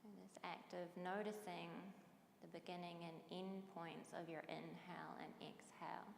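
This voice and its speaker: Woman, meditation guide, slow soft delivery, long reverb, faint background hiss. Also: fairly low pitch, flat delivery, creaky.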